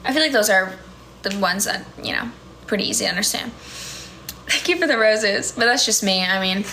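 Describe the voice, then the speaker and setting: A woman's voice: medium pitch, animated, normal speed, gravelly, bright, forceful tone, slight background noise. A woman in her twenties with a standard American accent, chatting casually on a livestream, reading viewer comments with frequent laughs and fillers. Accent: General American. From United States. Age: 20s. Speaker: livestream host. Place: small indoor room. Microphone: phone camera close up.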